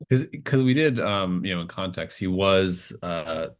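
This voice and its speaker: A man casually talking as a game master, agreeable and tentative, halting as the ideas get worked out. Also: deep voice, lively intonation. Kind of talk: casual collaborative game chat.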